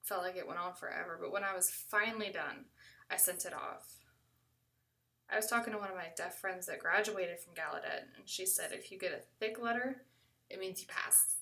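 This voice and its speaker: A woman's voice: fairly low pitch, fairly quick, sharp tone, far from the mic. An American woman telling a first-person story in a conversational way, acting out bits of quoted dialogue.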